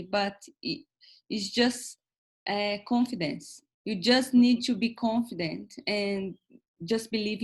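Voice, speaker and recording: A woman in her thirties, not a native English speaker, co-hosting a casual chat and speaking hesitantly with many fillers and restarts. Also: medium pitch. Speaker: co-host.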